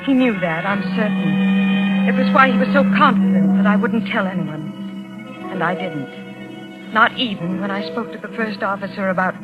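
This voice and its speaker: A woman's voice: medium pitch, normal speed, lightly acted. An actress playing an anxious young woman passenger, confessing dramatically in 1940s radio style. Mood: haunted, confessional. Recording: dull old radio recording, music behind.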